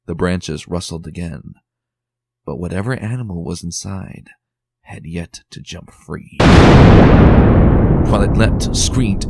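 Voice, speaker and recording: Deep, gravelly dramatic story narrator, male. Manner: expressive, tense and theatrical. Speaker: fan reader.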